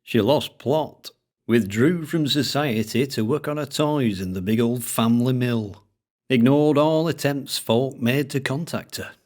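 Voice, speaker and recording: A man voicing a secretive older collector with a thick Lancashire accent, speaking in a slow, droning way with dialect grammar. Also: mid-range voice, a little mellow, voice pushed up, natural voice. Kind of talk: audiobook character voice.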